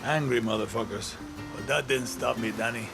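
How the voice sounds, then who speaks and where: Brief: man acting sly male rebel spymaster, gravelly fry, swaggering, game cutscene over clear music. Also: mid-range voice, moderate pace, natural voice. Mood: wry, knowing. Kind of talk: video game cinematic acting. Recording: music behind the voice.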